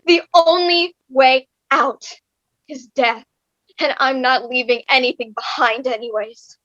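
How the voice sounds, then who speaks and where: A woman's voice: high-pitched, steady tone, a little slow, fairly bright tone, lo-fi sound. A woman playing a bitter, sarcastic young wife in a comic staged reading, with melodramatic exasperation. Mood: despairing.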